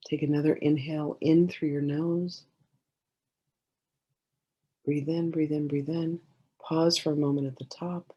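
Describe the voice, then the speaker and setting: A woman leading a guided meditation in a gentle, soothing way, giving slow instructions with many pauses. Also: deep voice, flat delivery, breathy edge, lo-fi sound.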